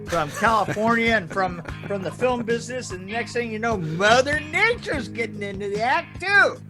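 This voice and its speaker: An older man telling anecdotes casually in a rambling, halting way, chuckling as he goes. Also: high-pitched, lively intonation, music in the background. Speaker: podcast storyteller guest. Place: indoor room on a video call.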